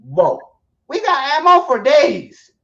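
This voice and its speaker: A man's voice: extremely high pitch, sing-song, slow pace, gravelly, a bit bright. A man from Guatemala chats with his livestream viewers in a loose, boastful, joking way. He restarts his sentences and trips over words as he goes. The audio is a little dull and muffled. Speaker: survival-prep livestream host. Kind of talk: casual live-stream chat to viewers.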